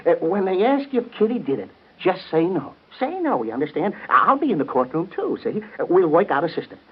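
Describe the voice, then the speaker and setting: Through a thin, tinny 1930s radio recording comes a pushy American agent. His voice is high and swings widely as he rattles out slangy, insistent demands at a quick clip. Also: big pitch swings, slightly rough, exaggerated voice. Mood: blustering, insistent. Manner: blustering, insistent, streetwise slang. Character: brash, pushy, slangy male show-business agent. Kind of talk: 1930s radio soap acting.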